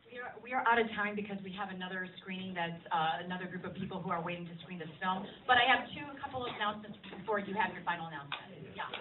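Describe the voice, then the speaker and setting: A woman's voice: mid-range voice, rapid-fire, hardly any pauses. Echoey, distant woman hosting an event. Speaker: host moderating a screening Q&A. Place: screening venue with audience. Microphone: distant handheld phone camera. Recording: echoey, distant, phone-like, clearly noisy.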